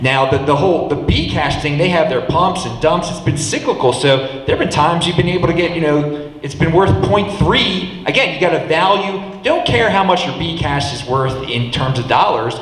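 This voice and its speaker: A man speaking on a panel at a live meetup, loud and lively, talking in rapid bursts. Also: on the high side. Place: meetup venue hall. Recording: echoey hall with long reverb.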